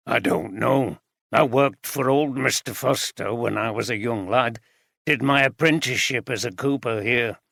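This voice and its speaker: A man's voice: mid-range voice, gravelly, fairly bright tone, dry recording, clean studio sound. A man voicing a scornful, plain-spoken brewery cooper. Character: scornful middle-aged male brewery cooper.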